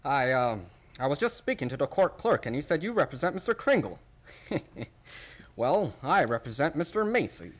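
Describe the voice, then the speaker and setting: An actor playing a fussy, pompous, cowardly store psychologist in a radio play, officious and easily flustered. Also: male voice, fairly high pitch, big pitch swings, normal speed. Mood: ingratiating, flustered. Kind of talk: stage-style radio play acting.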